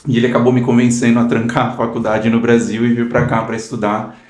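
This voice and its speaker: A man's voice: mid-range voice, completely flat delivery, quick pace, a little mellow, roomy sound. A Brazilian man in his thirties, a guest telling his story in an earnest, casual way, often adding "né". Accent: Brazilian Portuguese. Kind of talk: casual interview chat.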